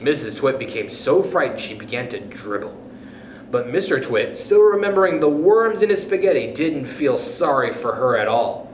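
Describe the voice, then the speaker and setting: A man reading a children's story aloud in an expressive narrating style. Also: mid-range voice, moderate pace. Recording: echoey room with faint noise.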